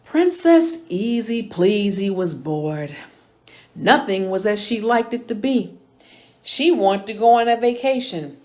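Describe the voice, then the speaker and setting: Animated woman reading aloud, unhurried pace. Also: medium pitch. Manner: deliberate, animated storytelling. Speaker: librarian and storytime host. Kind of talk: expressive picture-book read-aloud for children.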